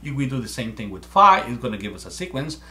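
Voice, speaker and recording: A man's voice: medium pitch, sing-song, quick pace, sharp tone. A man in his forties from Mexico, with a heavy Mexican Spanish accent, earnestly explaining math step by step to a webcam at home. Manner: earnest, repeats words while thinking. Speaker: amateur math presenter. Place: small room at home, indoors.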